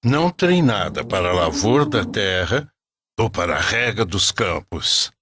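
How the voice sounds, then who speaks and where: A man's voice: deep voice, sing-song, unhurried, a crowd in the background, voice pushed down. A man voicing a solemn, patient, commanding prophet in a storybook cartoon.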